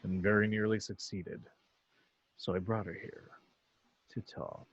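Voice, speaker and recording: A man playing a calm, menacing alien warlord and father in an amateur script read, speaking in a measured, patronizing way. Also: lowish voice, slightly rough, no echo, dull audio. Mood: insistent, cold.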